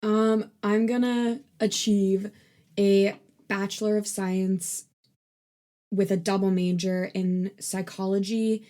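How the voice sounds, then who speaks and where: A woman's voice: mid-range voice, little pitch movement, takes it slowly, dark tone. A woman around twenty, a student co-hosting a podcast, talking in a casual, chatty way and often saying "like". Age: 20s. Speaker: podcast co-host, neuroscience student. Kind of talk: casual chat.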